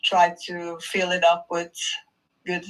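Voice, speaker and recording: A woman's voice: fairly low pitch, monotone, slow pace, fairly bright tone, low-quality recording. A woman chatting casually as a participant in an online group call. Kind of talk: casual chat.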